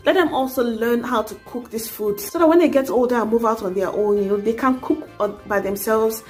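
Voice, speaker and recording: A woman's voice: fairly high pitch, fairly quick, a little mellow, soft background music. Earnest young woman giving advice. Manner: earnest, exhorting, frequent "you know". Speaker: vlogger. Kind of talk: casual advice vlog to camera.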